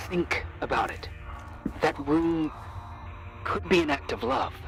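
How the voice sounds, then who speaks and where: A man's voice: on the high side, lively intonation, takes it slowly, gravelly, soft background music, heavily acted. An actor playing a concerned, cautious man in a video game, speaking in an earnest, pleading, insistent way. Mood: grave, insistent. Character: concerned, cautious man urging a woman to safety. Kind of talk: naturalistic video game voice acting.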